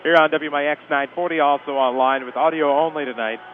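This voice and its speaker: Guy calling a high school game pregame on radio, talks fast, tinny phone line with noise behind. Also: fairly even intonation. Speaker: play-by-play sports announcer. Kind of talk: live local radio pregame commentary. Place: indoor school sports arena.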